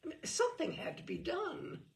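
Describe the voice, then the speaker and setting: A woman's voice: very high voice, huge pitch swings, moderate pace, vocal fry. A woman giving an arch, theatrical, mock-serious reading of a humorous book. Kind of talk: theatrical reading aloud of comic prose.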